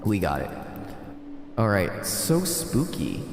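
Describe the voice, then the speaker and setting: Animated man streaming in heavy reverb. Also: fairly low pitch, takes it slowly, a little hiss or hum. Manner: rambling, talks to himself while tinkering. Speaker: streamer reading a visual novel aloud. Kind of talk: casual livestream chat mixed with dramatic story reading. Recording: heavy added reverb and echo, music.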